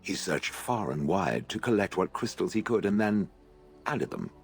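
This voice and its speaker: A man's voice: deep voice, big pitch swings, normal speed, vocal fry, faint music behind, heavily acted. A man voicing a huge, sardonic, vain stone golem in a video game, with a dry, condescending delivery. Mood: sardonic, haughty. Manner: dry, condescending, calls listener "it".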